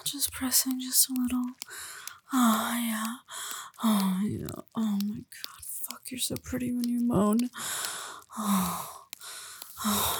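A woman speaking in a soft, breathy whisper for an intimate audio roleplay, murmuring slowly and coaxingly close to the listener. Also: slight background noise. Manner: low murmured coaxing with sighs.

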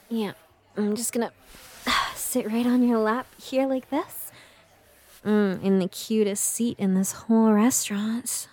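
Woman acting as playful adult girlfriend, intimate audio roleplay, unhurried, breathy, soft mellow tone, teasing. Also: on the high side, fairly even intonation. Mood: coaxing, playful. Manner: breathy, slow, teasing, often dropping into whispers.

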